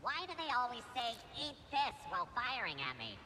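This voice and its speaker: A chatty robot foot soldier from a video game, bright and nasal. The delivery swings up and down, naive and boastful, through robotic processing. Faint ambience sits behind. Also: male voice, very high-pitched, huge pitch swings, fairly quick, a little hiss or hum, full character voice. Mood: puzzled, chatty. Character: cocky, dim, chatty battle droid foot soldier.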